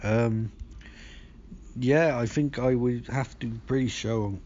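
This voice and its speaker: A podcast host with a hoarse, gravelly voice rambles through a movie recap, swearing freely. His pitch sits mid-range for a man. The recording is dull and lo-fi. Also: takes it slowly, darkish tone, slight background noise. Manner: sweary, rambling, acts out scenes. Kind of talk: casual profane movie recap.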